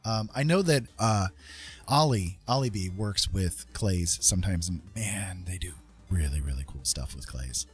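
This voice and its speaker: A man's voice: low-pitched, lively intonation, unhurried, faint music behind. A man hosting a casual live art stream, chatting to viewers in a rambling, hesitant way and often repeating himself. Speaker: live stream host and artist.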